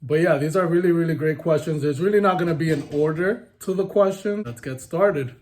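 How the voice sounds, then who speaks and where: Young man, fairly high-pitched, casual teasing co-host. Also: fairly quick, darkish tone. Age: young adult. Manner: teasing, reads questions aloud. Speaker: vlogger, co-host. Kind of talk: casual couple Q&A chat.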